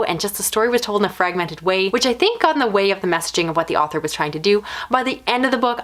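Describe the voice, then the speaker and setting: A woman's voice: medium pitch, a bit bright. Chatty woman reviewing books. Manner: conversational, candid, hedging opinions with "kind of". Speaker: book reviewer and channel host. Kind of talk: casual chatty book review to camera.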